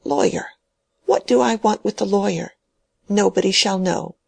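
A stubborn dying old man, put on by a woman reading aloud, his voice shaking as he orders and pleads. The voice sits higher and louder than her narration, gravelly and breathy, close and dry with no room around it. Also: moderate pace, no room sound. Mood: agitated.

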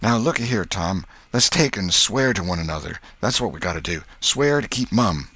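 A man voicing an excitable, superstitious young boy in a storybook, speaking a rustic dialect with whispery urgency. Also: low voice, moderate pace, no room sound, pitched above the performer's own voice, exaggerated voice. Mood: urgent, conspiratorial.

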